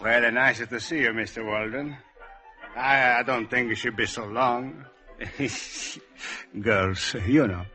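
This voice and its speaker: An actor playing a blunt, awkwardly sociable middle-aged grocer and father in a 1950s radio drama, with halting speech. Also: mid-range voice, bright, forceful tone. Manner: halting small talk, gruff with his son. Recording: vintage 1950s radio, music behind.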